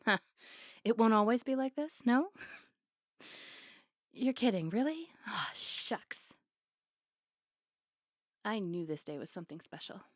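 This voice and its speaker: Female performer as playful, affectionate barista love interest for ASMR roleplay; mid-pitched, intimate, teasing, dry studio-like sound. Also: expressive, slow pace, long pauses, no echo. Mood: warm, sincere.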